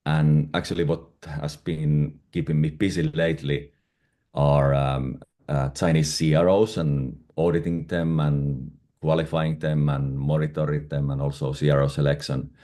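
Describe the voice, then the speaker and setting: A man's voice: extremely low pitch, flat delivery, normal speed, mellow. A middle-aged man from Finland with a Finnish accent, answering conversationally as an interview guest. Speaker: guest, independent pharmaceutical consultant.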